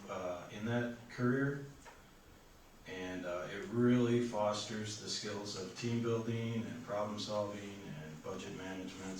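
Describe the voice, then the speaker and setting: An older man from Wisconsin introducing himself as a city council candidate in a plain, matter-of-fact way with fillers. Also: fairly low pitch. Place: public forum room. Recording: echoey hall, distant mic, faint noise.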